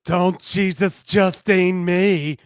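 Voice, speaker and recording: Man putting on a brash, flirty woman, pitched up. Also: fairly even intonation, slow, clear, resonant tone, dry recording, studio quality. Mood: sassy innuendo.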